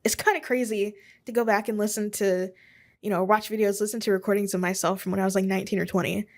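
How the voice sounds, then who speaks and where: A woman's voice: medium pitch, steady tone, quick pace. A woman in her twenties hosting a casual solo podcast from her home office, rambling and self-deprecating with chuckles and asides. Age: 20s. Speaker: podcast host.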